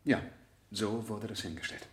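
An actor as an accused man in an old radio play, with a fairly low voice. He answers briefly and defensively in plain speech, in an echoey room. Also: fairly even intonation, moderate pace, natural voice. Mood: subdued, defensive. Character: male defendant, married, plain-spoken and defensive. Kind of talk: 1970 German courtroom radio drama acting.

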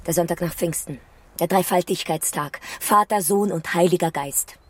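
An actress in a radio drama playing a grieving woman who survived a bombing, telling it in a quiet, halting, hushed way. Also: lowish voice, no room sound, natural voice. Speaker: woman recounting a wartime air raid.

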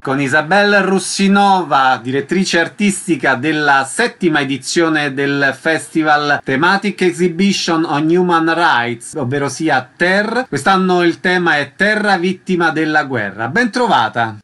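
A man's voice: on the high side, animated, a little slow, hardly any pauses, bright, forceful tone, soft background music. A man working as a radio interviewer, giving scripted introductions and questions.

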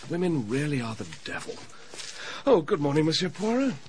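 An actor playing an older, complacent upper-class English banker in a radio drama, genial, assured and bluff, with a well-bred English accent. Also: male voice, on the high side, sing-song, unhurried, noisy background. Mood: exasperated.

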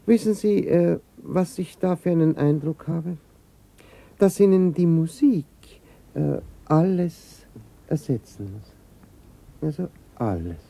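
An actor playing a seedy, suggestive small-town gentleman of about fifty in a radio drama, with a suave, hesitant manner. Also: a little high, creaky. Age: middle-aged.

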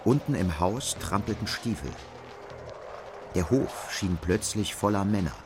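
A man's voice: lowish voice, expressive, a little slow, noisy background. A man narrating a radio drama as a scripted literary reading.

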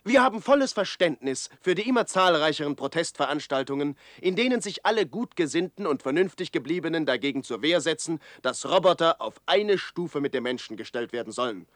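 Indignant, pompous commentator, close and dry. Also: male voice, a little high, lively intonation, a little fast, hardly any pauses, a bit bright, no echo. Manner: pompous, declamatory. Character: indignant conservative male public commentator. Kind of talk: radio drama mock editorial commentary.

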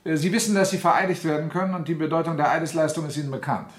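An actor playing a stern, sardonic general who presides as military judge in a German radio play, commanding and formal. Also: male voice, fairly high pitch, rapid-fire, natural voice.

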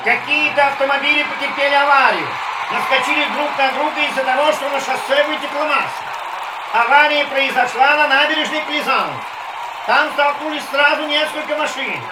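A man playing an excited race announcer in a radio play, projecting crash bulletins in a declamatory way. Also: very high-pitched, fairly quick. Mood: urgent. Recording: distant echoing announcer over noisy crowd ambience.

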